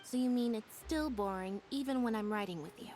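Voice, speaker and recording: Actress as a deadpan young woman, slightly high. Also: fairly quick, a little hiss or hum, lightly acted. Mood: dry, reproachful. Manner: deadpan, dry. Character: deadpan, dryly scolding young magician's assistant. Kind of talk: video game character acting.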